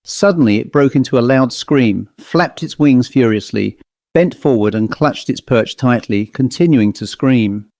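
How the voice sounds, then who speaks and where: A man reading a literary ghost story as an audiobook narrator, in a measured storytelling manner. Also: mid-range voice, a little mellow, no room sound.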